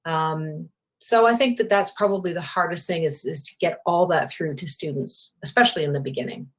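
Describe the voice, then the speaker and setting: A woman's voice: low voice, a little fast. An older woman, a retired journalism professor, answering interview questions thoughtfully and often repeating words as she thinks.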